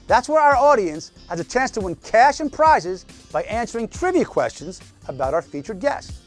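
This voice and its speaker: A man's voice: sits high, very animated, normal speed, soft background music. A man hosting a TV entertainment show, presenting segments and interviewing guests.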